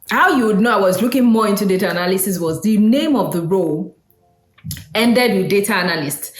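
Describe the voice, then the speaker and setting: A woman's voice: medium pitch, roomy sound. A woman from Nigeria, hosting a casual solo talk in a conversational way, with fillers and asides. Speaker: host.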